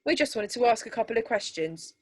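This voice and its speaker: Woman acting a bright-pitched, coaxing policewoman. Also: expressive, a little fast. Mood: friendly, questioning. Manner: polite, coaxing, questioning. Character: friendly, brisk policewoman questioning children. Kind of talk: storybook character dialogue.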